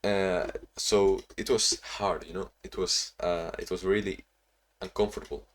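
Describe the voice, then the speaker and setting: A young man talking in a casual, reflective diary-style vlog, halting with frequent restarts and sounding a bit sleepy. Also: low-pitched, flat delivery. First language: not English; Portuguese not first language either. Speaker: vlogger.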